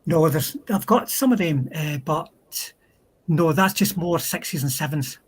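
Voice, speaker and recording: A man with a husky voice chatting casually as a guest on a hobby livestream. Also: a little high, measured pace.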